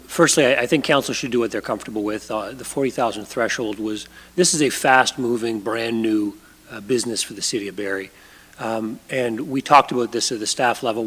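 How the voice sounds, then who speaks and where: Husky guy, city staff at a council meeting, losing his voice a bit, big reverby chamber. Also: medium pitch, a bit bright. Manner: measured, firm, with frequent uh fillers. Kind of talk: formal remarks at a council meeting.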